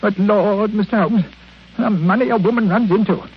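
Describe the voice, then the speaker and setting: A man's voice: high-pitched, normal speed, slightly rough. An actor playing a miserly, self-pitying old man, whining and blustering in 1940s radio drama style. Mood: mournful, grumbling. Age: older. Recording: dull, noisy 1940s radio recording.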